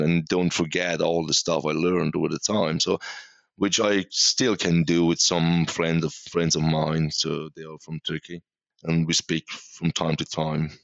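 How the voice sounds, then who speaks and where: A man in his forties from Iran with a Persian accent, talking casually and haltingly as a podcast guest, often repeating words. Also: low-pitched, creaky, fairly bright tone, no room sound, dull audio. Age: 40s. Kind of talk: casual conversational interview.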